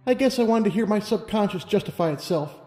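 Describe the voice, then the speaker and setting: Man putting on a high-voiced headstrong young mare. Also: expressive, fast, dark tone. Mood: skeptical. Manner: blunt, questioning. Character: young female unicorn, skeptical, blunt and headstrong. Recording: long hall reverb over music.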